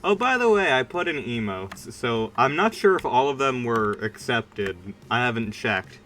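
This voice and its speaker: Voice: mid-range voice, big pitch swings, moderate pace, a bit bright. A streamer chatting casually during a game livestream in a rambling, informal and emphatic way. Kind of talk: casual livestream chat while gaming.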